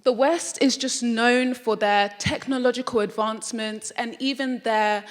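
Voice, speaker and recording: A woman's voice: fairly high pitch, steady tone, measured pace. Earnest young British woman in a hall. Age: young adult. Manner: earnest, reflective, engages audience. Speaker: student speaker. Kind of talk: prepared public talk. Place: lecture hall with audience. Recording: long hall reverb.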